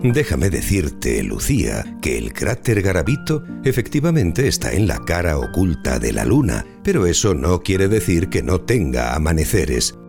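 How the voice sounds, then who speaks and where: Low male spaceship AI, calm, formal, obliging, explanatory, audio drama, prominent background music. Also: normal speed, few pauses. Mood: calm, explaining. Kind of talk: audio drama acting, measured informative delivery.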